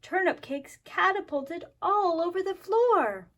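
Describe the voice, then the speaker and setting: A woman's voice: extremely high pitch, animated, slow pace, fairly bright tone. A woman talking and reading aloud expressively to young children as a kindergarten teacher, explaining things simply. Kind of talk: children's story time, expressive read-aloud.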